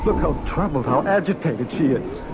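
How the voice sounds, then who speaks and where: An actor playing an agitated, frightened husband and scientist, talking urgently in a vintage radio drama. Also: male voice, on the high side, lively intonation, moderate pace, close to an everyday voice. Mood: uneasy.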